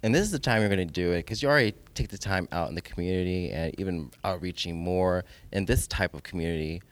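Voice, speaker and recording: A man's voice: low voice, fast, no room sound. An American man hosting a casual podcast conversation. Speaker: podcast host.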